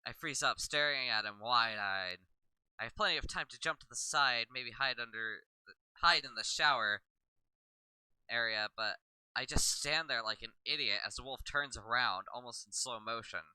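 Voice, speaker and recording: A man's voice: mid-range voice, normal speed, sharp tone, clean tone, dry recording, low-quality recording. A man reading first-person narration aloud from a visual novel, sometimes stumbling and rereading a line.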